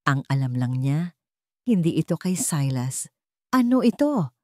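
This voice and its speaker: A woman's voice: rumbling low, measured pace, a little mellow, no echo, studio quality. A woman narrating a scripted dramatic story as an audio storyteller.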